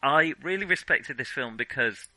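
A man co-hosting a casual film discussion, with a hesitant, halting way of talking that often restarts phrases. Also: mid-range voice, expressive, pushed and bright, no room sound, rough recording. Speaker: film podcast co-host.

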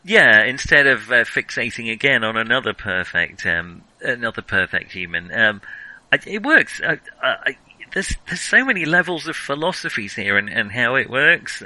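A man's voice: mid-range voice, sharp tone, dry recording, rough recording. A man hosting a casual film discussion, enthusiastic and analytical, often stammering as he searches for words. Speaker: film podcast host.

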